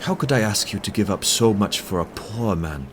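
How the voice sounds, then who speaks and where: An actor playing a poor, gentle, lovelorn professor, humble and earnest, speaking haltingly in an audio drama. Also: male voice, lowish voice, animated, noisy background, natural voice.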